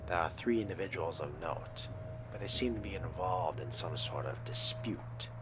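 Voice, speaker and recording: A man role-playing a vampire character as he plays a game, musing aloud in a deliberate, formal, often hushed manner. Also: low voice, over music. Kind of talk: in-character roleplay narration of game playthrough.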